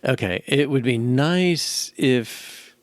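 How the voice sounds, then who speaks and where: A middle-aged man thinking aloud as he codes, musing and halting as if muttering to himself, in casual commentary. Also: medium pitch, lively intonation, no echo, clean studio sound. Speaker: programmer live-coding. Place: indoor room at a desk.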